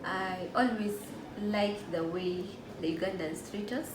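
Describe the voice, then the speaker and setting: A young woman from Nigeria talks about student life in short, direct sentences at a medium pitch. The room is echoey, and background noise runs under her voice. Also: a little slow. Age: young adult. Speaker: international student guest. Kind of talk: casual interview answers.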